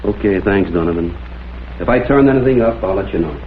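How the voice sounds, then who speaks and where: An actor playing a shrewd, persistent crime photographer in a vintage detective drama, questioning politely but insistently. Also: male voice, low voice, expressive, brisk, slight background noise. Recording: muffled phone-like old soundtrack, music behind.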